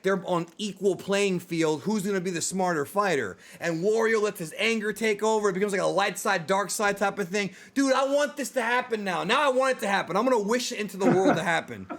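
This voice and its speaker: A man with a notably high-pitched voice chats casually as a show host. His delivery is animated and slangy, like an enthusiastic fan talking with a friend. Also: moderate pace. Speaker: host of a video game movie discussion show. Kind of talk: casual, excitable fan chat.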